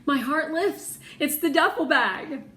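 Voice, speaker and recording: Expressive woman reading aloud, echoey noisy room. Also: high-pitched, unhurried. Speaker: teacher reading a children's novel aloud. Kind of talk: expressive read-aloud narration for children. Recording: roomy echo with clear background noise.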